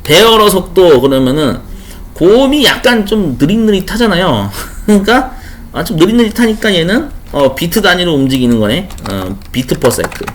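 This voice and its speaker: Chatty male instructor with very lively pitch. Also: moderate pace, slight background noise. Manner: chatty, explains with everyday examples and asides; repeats key terms for emphasis. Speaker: online instructor for a certification exam. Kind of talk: informal exam-question walkthrough lecture.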